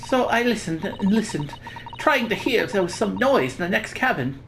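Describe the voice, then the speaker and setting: Young Russian woman acted by a woman, game character, anxious and confessional, Russian accent, animated intonation. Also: mid-range voice, moderate pace, gravelly, slight background noise, poor audio. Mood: worried. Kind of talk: reading game dialogue aloud in character.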